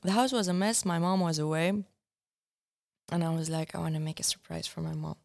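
Woman, young adult, slightly low voice, guest filmmaker, casual chat, halting restarts. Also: vocal fry, darkish tone. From Syria. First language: Arabic. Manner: searching for words, many restarts. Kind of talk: casual conversation.

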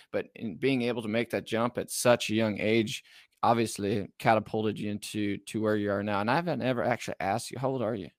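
A man's voice: mid-range voice, dry recording. Young male podcast host, 20s; eager, affirming, dead-dry mic sound. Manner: upbeat, eager, with constant affirmations. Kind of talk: interviewing, casual chat.

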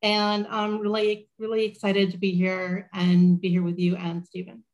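A woman's voice: completely flat delivery, moderate pace, a little mellow, highs cut off. Casting: woman, chipper sales-style intro on a video call. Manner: upbeat, pitch-like delivery. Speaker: business development manager. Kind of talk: event introduction and company pitch.

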